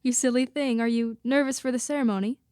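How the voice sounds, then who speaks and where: A young woman playing a gentle, devoted young bride in a radio play, speaking sweetly and formally. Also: fairly high pitch, steady tone, fast, dry recording, studio quality, lightly acted. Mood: reassuring, fond. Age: young adult. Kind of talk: student radio play acting. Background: music underscoring.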